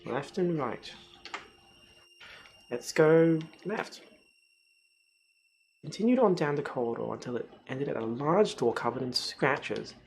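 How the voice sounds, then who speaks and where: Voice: medium pitch, animated, slow, gravelly, long pauses, darkish tone. A streamer reading a text adventure game aloud as story narration, with casual asides. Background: music.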